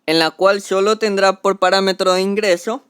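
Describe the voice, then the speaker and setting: A man's voice: sits high, level tone, normal speed, fairly bright tone, smooth, no echo. A young man explaining a coding tutorial step by step, talking through each action as he does it on screen. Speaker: programming tutor.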